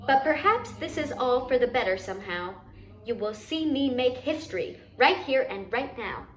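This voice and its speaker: A woman's voice: high-pitched, expressive, moderate pace, fairly bright tone, roomy sound. A woman voicing a fierce, proud old grandfather warrior in a storybook, declaiming defiantly and emphatically. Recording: noticeable background noise.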